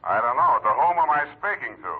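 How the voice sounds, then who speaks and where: An actor playing a wry, weary hard-boiled private detective with a husky voice and deadpan delivery, in 1940s radio style. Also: male voice, medium pitch, a little fast. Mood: exasperated, wry. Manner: deadpan, sardonic. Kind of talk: 1940s radio detective acting, first-person narration. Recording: muffled, narrow-band 1940s radio recording.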